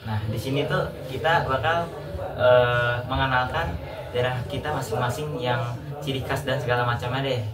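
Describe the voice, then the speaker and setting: A man's voice: mid-range voice, fairly even intonation, moderate pace, far from the mic. A teenage boy from West Sumatra leading a casual chat and prompting his friends. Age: teens. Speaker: podcast moderator. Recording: echoey room, off-mic, crowd behind.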